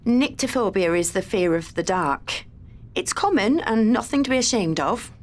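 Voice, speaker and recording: A female research assistant played by an actress in an audio drama. She is brisk and businesslike with a mid-pitched voice, and reassures in a practical, soothing way. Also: expressive, close to an everyday voice. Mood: clinical, reassuring. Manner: professional, matter-of-fact, soothing.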